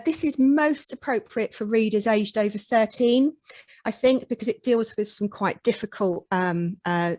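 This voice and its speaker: A woman's voice: medium pitch, no echo. A woman recommending books at an online event, speaking with hesitations and restarts on a video call. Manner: hesitant, many fillers and restarts.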